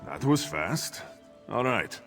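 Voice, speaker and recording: A man's voice: mid-range voice, big pitch swings, slow pace, fairly bright tone, full character voice. An actor playing a brash, wry male privateer sailor in a fantasy video game. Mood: wry, businesslike. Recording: game music behind the voice.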